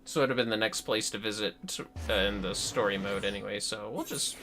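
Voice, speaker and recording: A man's voice: on the low side, fairly quick, fairly bright tone, faint music behind. A man chatting casually on a game livestream, musing aloud and swearing mildly now and then. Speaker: livestreamer playing a video game.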